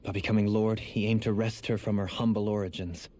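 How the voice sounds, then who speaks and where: An actor playing a refined, melancholy nobleman in a video game, speaking in a formal, measured, solemn way. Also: on the low side, little pitch movement, a little mellow, close to an everyday voice. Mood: grave, regretful. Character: refined, melancholy young nobleman and sworn lord. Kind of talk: dramatic video game voice acting. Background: prominent music.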